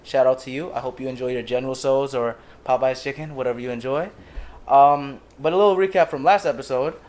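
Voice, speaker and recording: A man's voice: medium pitch, brisk, darkish tone. Laid-back young male host. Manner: laid-back, jokey, calls guest "bro". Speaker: podcast host. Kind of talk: casual chat.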